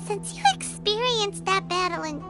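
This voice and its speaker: A woman voicing a tiny, chatty floating fairy girl companion in a game, with a high, childlike, squeaky voice. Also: moderate pace, loud music behind the voice. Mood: curious. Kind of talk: game cutscene voice acting.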